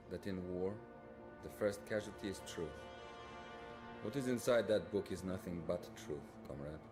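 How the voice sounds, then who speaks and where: A man acting as a principled, defiant Soviet lieutenant in a video game cutscene, earnest and solemn. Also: sits low. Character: principled, defiant male Soviet lieutenant, a disillusioned war writer.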